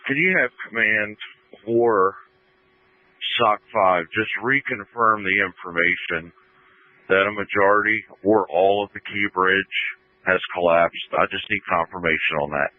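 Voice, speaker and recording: A man speaking as a senior fire officer over two-way emergency radio, in a deliberate, formal manner. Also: on the low side, fairly even intonation, no room sound. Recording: tinny narrowband emergency scanner radio.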